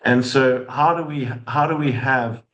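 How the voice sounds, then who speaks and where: Middle-aged South African man, halting online speaker with lots of fillers, webcam sound. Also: mid-range voice, fairly bright tone, lo-fi sound. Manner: halting, many fillers and restarts. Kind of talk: online talk to an audience. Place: office with glass walls. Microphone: video call webcam.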